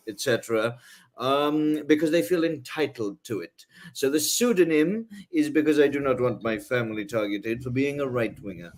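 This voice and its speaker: English male guest, haughty, rambling, many fillers, mid pitch. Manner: rambling, many ums and rhetorical "right?"; haughty airs. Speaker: guest author. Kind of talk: casual interview talk.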